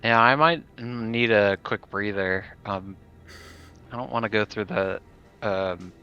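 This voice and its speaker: A man's voice: on the low side, takes it slowly, smooth, faint music behind. A man playing a tabletop roleplaying game, talking casually, on slightly dull lo-fi audio. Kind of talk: casual in-character game talk.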